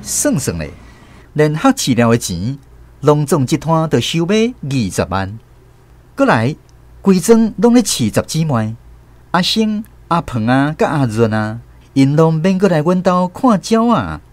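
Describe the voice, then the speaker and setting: A man's voice: on the high side, very animated, unhurried, darkish tone. A man with a Taiwanese accent narrating a radio drama as a scripted story.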